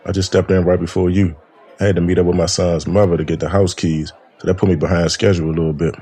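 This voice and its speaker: A man's voice: low-pitched, level tone, fast, mellow, slightly rough. A man playing a laid-back, slick young father on a date in an audio drama, talking in casual slang. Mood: casual, defensive.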